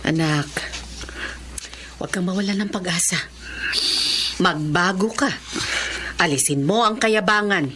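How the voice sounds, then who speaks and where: A woman acting a concerned middle-aged mother who gently scolds and advises, in a radio-style drama. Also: on the low side, a little slow, long pauses, background noise, lightly acted. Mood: consoling. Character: concerned, admonishing mother of a grown son.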